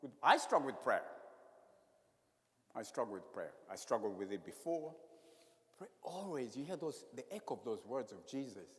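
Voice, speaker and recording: An older man delivers a sermon, his pitch rising and falling with lively swings. He preaches in an animated way, repeating phrases and slipping in humor. His voice carries a long reverb through the church. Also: normal speed, long pauses. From Uganda. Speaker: retired priest.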